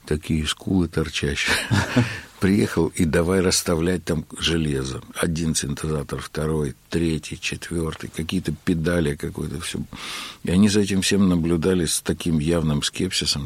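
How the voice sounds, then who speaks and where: A man being interviewed about his work as a keyboard player, telling his story in an unhurried way with many filler words. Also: rumbling low, slightly rough, no room sound.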